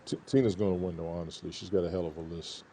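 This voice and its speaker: A man's voice: low-pitched, steady tone, quick pace, a loud crowd. A man chatting casually and chuckling as a guest, heard through his computer speaker on an online call. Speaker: podcast guest. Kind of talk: casual chat. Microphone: computer speaker without headphones, over an online call.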